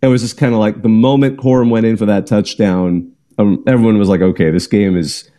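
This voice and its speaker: A man's voice: lowish voice, fairly even intonation, fairly quick, warm tone. A man hosting a live call-in sports talk show, chatty and rambling, often laughing between thoughts. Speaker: host.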